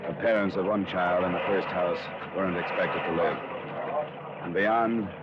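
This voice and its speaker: A man's voice: sits low, steady tone, normal speed, heavy background noise, lightly acted. An actor with an American accent playing a tough, businesslike male insurance investigator in a clipped, matter-of-fact way, in 1950s radio crime drama. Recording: muffled old radio recording, loud music.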